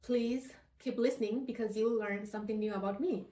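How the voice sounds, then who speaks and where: A middle-aged woman from Nepal with a Nepali accent, earnestly telling her personal story in measured phrases. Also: a little high, fairly even intonation, warm tone. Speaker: nurse practitioner and functional medicine provider. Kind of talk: personal story told to camera. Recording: roomy echo, distant microphone.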